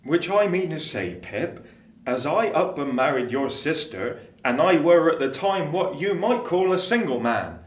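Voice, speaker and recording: An actor playing a humble, good-natured blacksmith in a Victorian radio play, rambling in a rustic, roundabout way. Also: male voice, a little high, sing-song, unhurried. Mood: bashful, rambling. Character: humble, bashful country blacksmith, good-natured grown man. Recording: echoey room sound.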